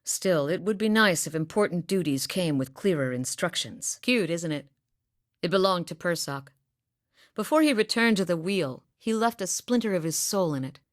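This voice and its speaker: A seasoned huntress from a distant southern island, voiced by a woman, speaking low and deep with dry, wry practicality. Her lines come from a video game, recorded close and dry in a studio. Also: lively intonation, moderate pace, dry recording, natural voice. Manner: wry, dry, matter-of-fact. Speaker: game companion character. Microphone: close studio mic.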